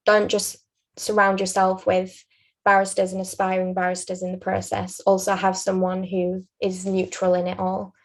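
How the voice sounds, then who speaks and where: A young woman with a Yorkshire accent giving earnest, measured advice as an interview guest. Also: mid-range voice. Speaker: guest, aspiring barrister.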